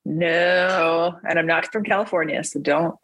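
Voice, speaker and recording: Low husky woman, loud and joking. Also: fairly even intonation, a little slow. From Ohio. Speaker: podcast guest. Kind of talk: casual chat.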